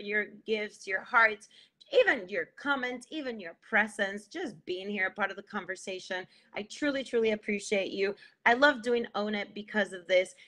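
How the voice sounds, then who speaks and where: A woman's voice: medium pitch, pushed and bright. A woman in her thirties hosting a livestream show, gushing with thanks in casual chat from a phone camera at home. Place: indoors at home, plain wall.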